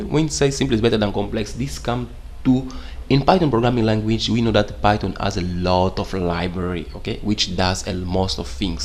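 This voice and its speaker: A man's voice: fairly low pitch, a little mellow. A young man whose first language is Portuguese, teaching programming in English in a casual, rambling way and often checking in with "okay?". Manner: rambling, repeats "okay?" often, non-native grammar. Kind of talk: casual screen-share tutorial.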